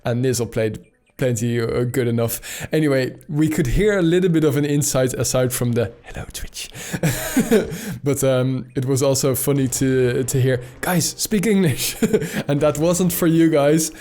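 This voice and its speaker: A man's voice: medium pitch, measured pace, dark tone. A man who speaks Dutch as his first language, casting a competitive shooter match in a chatty, laughing way with many fillers. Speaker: esports caster. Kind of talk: live game commentary.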